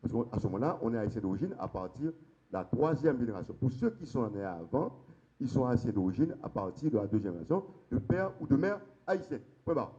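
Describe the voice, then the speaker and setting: Emphatic Haitian lawyer, muffled, mellow. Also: male voice, medium pitch, sing-song, a little fast. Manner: emphatic, stresses points with sharp rises. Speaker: lawyer on a conference panel. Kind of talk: explaining constitutional law.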